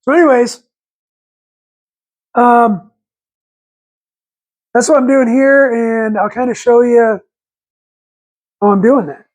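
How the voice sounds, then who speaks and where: A raspy man in his forties with a head cold, rambling folksy and correcting himself while talking through engine work in a garage on a lapel mic. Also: very high voice, steady tone, slow, pauses often. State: congested with a cold. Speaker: channel host. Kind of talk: casual workshop vlog. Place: home garage workshop, indoors.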